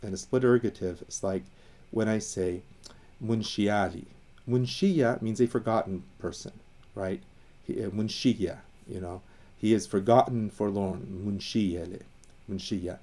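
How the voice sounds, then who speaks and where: A man's voice: on the low side, a little slow, mellow. A man from Iran explaining language history in casual talk, thinking aloud with fillers and restarts and lingering over the words he defines. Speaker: educator on Assyrian language and identity.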